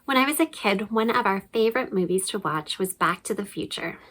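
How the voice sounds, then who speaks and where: A woman's voice: medium pitch, animated, brisk, a bit bright. A woman giving a sermon in a personal, conversational style, as a preacher. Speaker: guest preacher.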